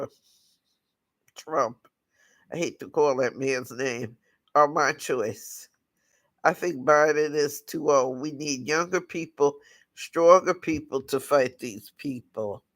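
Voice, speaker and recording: A raspy-voiced man from the United States, hosting a casual livestream talk and speaking in a halting way with many restarts. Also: fairly high pitch, fairly bright tone, no room sound. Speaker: channel host.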